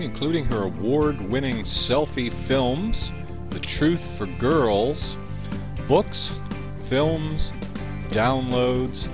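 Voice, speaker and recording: A man reading a scripted closing announcement as an announcer. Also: medium pitch. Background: guitar music.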